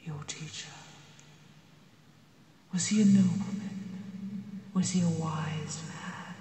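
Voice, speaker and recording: A woman voicing an ancient, proud female dragon, gentle but menacing, in a breathy, deliberate ASMR roleplay monologue. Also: sits low, fairly even intonation, slight background noise. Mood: measured, curious. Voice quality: breathy, whispery. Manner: slow, deliberate, imperious. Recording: cavernous long reverb, distant voice.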